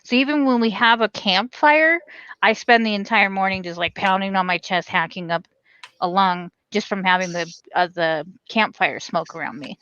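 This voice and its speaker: A woman and military veteran co-hosting a casual chat, animated, laughing a lot and swearing offhand. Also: mid-range voice, moderate pace, clear, resonant tone. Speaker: podcast co-host, veteran. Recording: dry, dull lo-fi mic.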